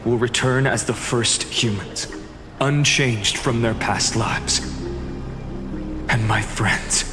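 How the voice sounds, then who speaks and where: A man playing a grave, resolute young hero in a fantasy video game, speaking earnestly and firmly. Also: lowish voice. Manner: earnest, firm, solemn. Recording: long hall reverb over music.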